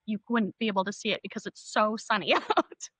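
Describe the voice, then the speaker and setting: A woman's voice: medium pitch, fairly quick, a bit bright, slightly rough, no echo. A 25-year-old woman from Maine, a podcast guest on a video call, telling stories in an upbeat, descriptive way. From Maine, USA. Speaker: podcast guest, rental manager. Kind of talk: casual interview chat. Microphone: remote video call.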